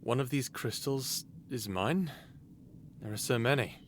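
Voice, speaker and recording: A man's voice: mid-range voice, unhurried, noisy background, natural voice. An actor playing a bewildered, apologetic, hesitant man in a sci-fi audio drama. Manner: hesitant, puzzled.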